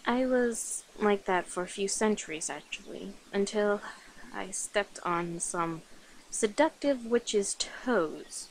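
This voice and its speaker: A woman acts out an ancient female vampire in an ASMR roleplay, speaking slowly at a medium pitch. She confides coyly in her human lover, leaving pauses between short phrases. There is noticeable noise behind the voice. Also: lively intonation, poor audio. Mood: coy, nostalgic. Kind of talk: ASMR roleplay, addressed to the listener.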